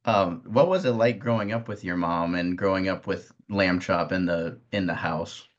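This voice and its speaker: A man's voice: low-pitched, moderate pace. A man hosting a casual interview with hesitant phrasing, on slightly muffled audio. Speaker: interviewer, host.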